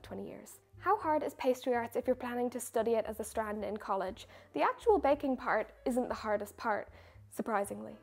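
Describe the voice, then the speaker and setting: A woman's voice: fairly high pitch, darkish tone. A young woman, a baker, answering viewer questions in a chatty, self-mocking way. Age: young adult. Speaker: baker and video creator. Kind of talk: casual question-and-answer chat.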